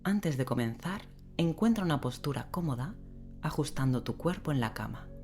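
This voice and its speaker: Very low-voiced woman guiding sleep meditation. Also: lively intonation. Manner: gentle, unhurried, with long pauses. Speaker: guided meditation instructor. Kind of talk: guided sleep meditation, slow soothing instruction. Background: relaxing rain sound and soft music.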